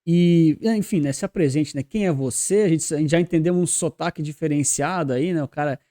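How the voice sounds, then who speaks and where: Host: man, mellow tone and a somewhat raised pitch, loose conversational interviewer. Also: fairly quick. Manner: chatty, loose with fillers like "né" and "cara". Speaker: podcast host. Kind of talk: casual podcast interview.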